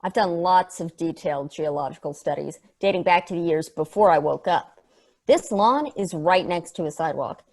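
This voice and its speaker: A woman's voice: on the low side, darkish tone. Woman acting a sardonic, rambling, sweary female probe. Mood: fascinated, earnest. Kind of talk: conversational acting in a script table read.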